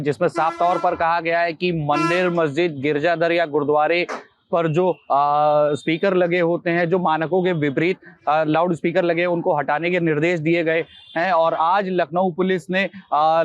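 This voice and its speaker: Halting male field reporter, dull audio. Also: on the high side. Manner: halting, with drawn-out hesitations. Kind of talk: unscripted on-location news report. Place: on location outside a mosque.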